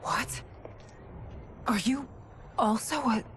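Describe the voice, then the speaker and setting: An actress playing an earnest, dignified warrior queen in a video game, with formal, dramatic, deliberate speech. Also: on the high side, a bit bright, a little hiss or hum. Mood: confused. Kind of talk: video game cutscene acting.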